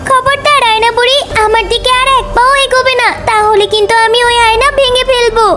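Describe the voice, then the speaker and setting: A woman's voice: very high-pitched, steady tone, a little fast, bright, forceful tone, soft background music. A woman voicing a brave, earnest young girl heroine in a cartoon, speaking expressively. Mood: defiant. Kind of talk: cartoon character acting.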